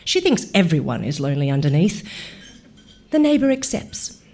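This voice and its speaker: An Australian woman, an actor reading a literary short story aloud with measured, deliberate phrasing. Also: low-pitched, very animated, darkish tone.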